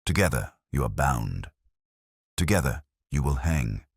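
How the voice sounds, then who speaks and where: A man voicing a cold, commanding detective in an audiobook, speaking in a steady, formal, deliberate way. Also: very deep voice, slightly rough, no room sound, studio quality, exaggerated voice. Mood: accusing. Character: cold, commanding male genius detective, accusing and unshakable.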